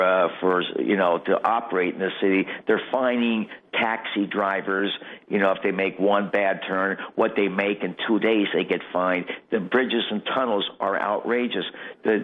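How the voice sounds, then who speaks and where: Low-voiced American man on a tinny line. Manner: rambling, emphatic, repeats phrases for stress. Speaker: guest, mayoral candidate. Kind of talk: political interview, impassioned off-the-cuff argument. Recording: tinny, narrow phone-like line.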